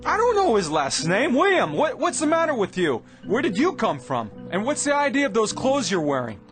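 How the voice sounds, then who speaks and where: An actor playing a bewildered, agitated young engineer in a radio drama, speaking haltingly but insistently. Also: male voice, very high-pitched, huge pitch swings, music in the background, full character voice. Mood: agitated, indignant. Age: young adult.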